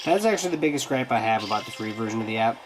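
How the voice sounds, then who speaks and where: A man's voice: fairly low pitch, lively intonation, racing, music in the background, low-quality recording. Congested man giving a casual app review. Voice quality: stuffed-up, nasal. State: congested, getting over a cold. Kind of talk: casual review commentary over a gameplay demo.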